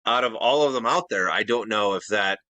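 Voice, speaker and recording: A man's voice: lowish voice, fairly quick, bright, forceful tone, no room sound. A man co-hosting a casual podcast who defends his list with rambling, restarting explanations. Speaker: podcast co-host. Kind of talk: casual debate chat.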